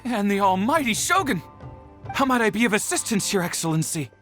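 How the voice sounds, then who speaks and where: A man's voice: high-pitched, very animated, brisk, a bit bright, soft background music. A man playing a nervous, obsequious servant in an anime game, stammering and deferential. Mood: flustered, deferential. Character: flustered, obsequious young male servant, eager to please.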